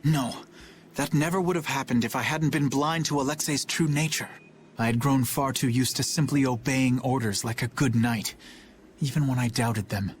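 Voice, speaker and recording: An actor playing an earnest, dutiful young knight captain in a video game, speaking formally and with solemn restraint. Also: male voice, mid-range voice, normal speed, slight background noise, lightly acted. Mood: remorseful. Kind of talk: English video game voice acting.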